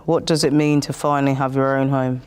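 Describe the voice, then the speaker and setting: A man's voice: medium pitch, level tone, fairly quick, darkish tone, a little hiss or hum. A man with a British accent chatting casually and telling stories in a colloquial way, acting out remembered exchanges. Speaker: housing tenant interviewing another tenant. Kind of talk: casual personal storytelling and chat.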